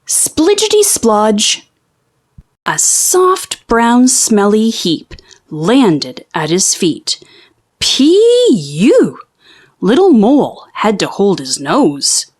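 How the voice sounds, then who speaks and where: A woman reading a children's storybook aloud in an expressive, theatrical and deliberate storytelling manner. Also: medium pitch, slightly rough. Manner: theatrical, deliberate storytelling with drawn-out sound words. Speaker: children's book read-aloud host.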